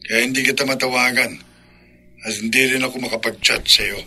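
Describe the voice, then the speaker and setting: A menacing young man in a radio drama, husky and sharp in tone. He talks bluntly, like a demanding gambler who scares people. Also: mid-range voice, steady tone, fairly quick, soft background music. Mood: sullen, demanding.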